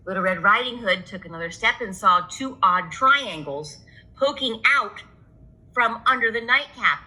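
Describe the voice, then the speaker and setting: A woman's voice: medium pitch, lively intonation, a little slow, sharp tone, poor audio. A woman reading a children's story aloud as a storyteller.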